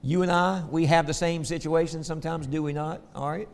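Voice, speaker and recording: A man with a Southern American accent preaches a folksy sermon to his congregation. His voice sits slightly high and carries a gravelly edge. The sound rings with the long reverb of a large church hall. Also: fairly even intonation, fairly quick, slight background noise. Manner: folksy, asks the congregation "okay?" often.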